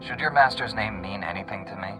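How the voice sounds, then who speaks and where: An actor playing a principled male Jedi hero in a video game, calm, dry and resolute. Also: on the low side, quick pace, lightly acted, low-quality recording. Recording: music under the voice.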